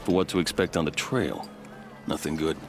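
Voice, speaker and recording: A man playing a composed, devout and loyal fort officer in a video game, speaking in a measured, formal way. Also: very deep voice, steady tone. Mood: cautioning. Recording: music behind the dialogue. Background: music.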